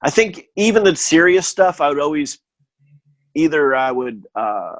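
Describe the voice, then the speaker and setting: Middle-aged man, American accent, casual interview guest, halting and meandering delivery, dry humor, very little room sound. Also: on the high side, sing-song, no room sound. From Connecticut, United States. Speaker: podcast guest, audio drama producer.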